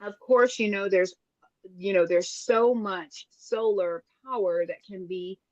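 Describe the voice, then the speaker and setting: A woman's voice: mid-range voice, takes it slowly, no echo. Chatty Texan woman on a dull dry line. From Texas. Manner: chatty, with many fillers. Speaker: host. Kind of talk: casual chat.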